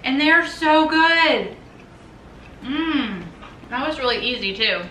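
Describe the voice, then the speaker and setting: A woman's voice: high-pitched, expressive, takes it slowly, sharp tone, slight background noise, rough recording. A woman in her thirties chatting through a cook-along in her home kitchen, often calling the viewers y'all. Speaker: cooking vlogger. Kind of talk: casual cook-along narration.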